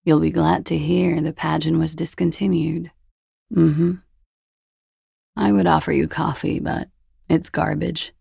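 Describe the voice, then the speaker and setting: Game role: evasive young ex-pageant queen, heavy vocal fry, languid sarcasm, very close dry recording. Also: low-pitched, fairly even intonation, unhurried, pauses often, mellow, no echo, full character voice. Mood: dry, bored. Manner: languid, dry, sardonic understatement. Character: cool, evasive young former beauty queen and party girl. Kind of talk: video game dialogue, low-key and naturalistic.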